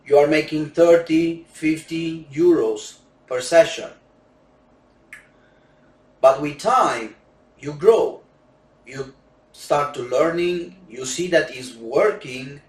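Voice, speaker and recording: A man with a Romanian accent, a native Romanian speaker using broken English, giving a slow, emphatic sales pitch for roulette courses. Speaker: roulette instructor selling courses. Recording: echoey room, distant mic.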